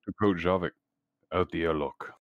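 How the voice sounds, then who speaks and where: Very low-pitched man, casual talk. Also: moderate pace, phone-quality sound. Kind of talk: casual chat.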